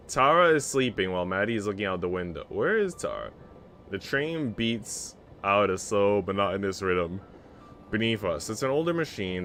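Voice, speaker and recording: A man's voice: lowish voice, fairly bright tone. A man livestreaming, mixing slangy, rambling casual chat and swearing with reading a visual novel aloud. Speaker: game streamer.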